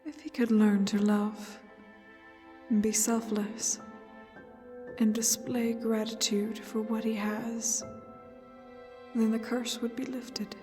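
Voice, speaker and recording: A woman narrating a fairy tale in a solemn, dramatic storybook style, with deliberate pauses between short phrases. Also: fairly high pitch, flat delivery, warm tone, in a large hall, soft background music.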